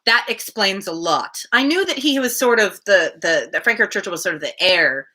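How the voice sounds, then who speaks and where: A woman's voice: medium pitch, expressive, moderate pace, bright, forceful tone. A woman reading a classic novel aloud as the host of a live reading, at times speaking casually. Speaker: reader hosting a daily live book reading.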